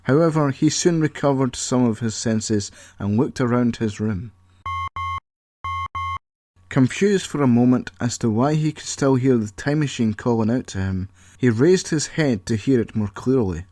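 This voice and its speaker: A man narrating an original audio story in an even, measured reading voice. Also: mid-range voice, no room sound.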